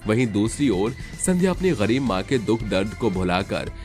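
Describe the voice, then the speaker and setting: A man's voice: on the low side, big pitch swings, moderate pace, darkish tone. A man reading scripted, dramatic storytelling narration. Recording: music under the voice.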